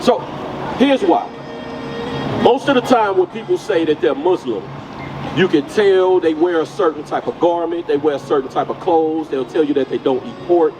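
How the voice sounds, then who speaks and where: Male street preacher, high voice, direct and questioning, street noise behind. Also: normal speed. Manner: direct, questioning, repeats "you get what I'm saying". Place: outdoors on a city street. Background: traffic noise.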